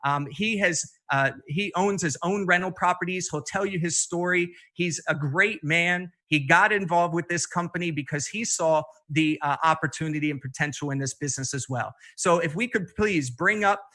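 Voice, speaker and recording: A man hosting an online business meeting, giving a persuasive, loose, conversational pitch over a video call. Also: normal speed, few pauses, bright, forceful tone. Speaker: business presenter and meeting host. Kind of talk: motivational pitch.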